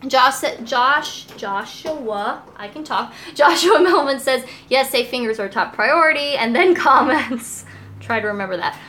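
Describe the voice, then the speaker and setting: A woman's voice: high voice, animated, measured pace, few pauses, bright, forceful tone. A young American woman chatting casually and giggly as she hosts a cooking live stream and reads comments aloud. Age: young adult. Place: indoors in a home kitchen. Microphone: phone or tablet, a short distance away. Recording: noisy kitchen live-stream audio.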